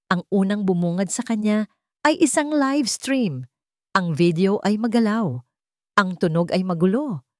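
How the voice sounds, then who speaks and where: A woman's voice: medium pitch, a little mellow, no echo, clean studio sound. A woman narrator reading a scripted dramatic story.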